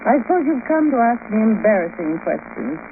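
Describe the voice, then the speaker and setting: An actress playing a rich, haughty, flirtatious socialite of fifty in a radio drama, arch, languid and teasing. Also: female voice, a little high, big pitch swings, fairly quick. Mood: coy, teasing. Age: 50s. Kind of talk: 1950s radio detective acting. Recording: noisy, tinny old radio recording.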